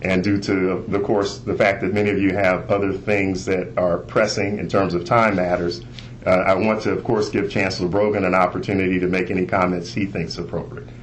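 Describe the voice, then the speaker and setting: A man with a fairly low voice presents formally, like an internal auditor reporting to a committee. His delivery is measured and bureaucratic, and faint noise sits behind him on the conference call. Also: level tone. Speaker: internal auditor, inspector general. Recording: noisy conference call recording.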